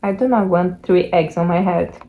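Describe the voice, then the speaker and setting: A woman's voice: fairly low pitch, normal speed, voice pushed down, natural voice. A woman voicing a worried, bewildered elephant from a picture book, exclaiming and questioning. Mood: dismayed. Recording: muffled lo-fi home recording, background noise.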